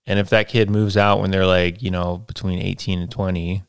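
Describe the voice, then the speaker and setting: A man's voice: low voice, level tone, moderate pace, gravelly, clear, resonant tone, no room sound, clean studio sound. A man in his twenties hosting a podcast, talking through his life plans in a loose, rambling way with many fillers and tag questions. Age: 20s. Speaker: podcast host. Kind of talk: casual solo podcast monologue.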